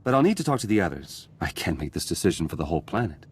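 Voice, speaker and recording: Rebel leader for a game, husky lowish voice, animated, earnest but guarded. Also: male voice, racing, heavily acted. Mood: wary, earnest. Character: wary, earnest male rebel civilian leader. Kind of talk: video game voice acting.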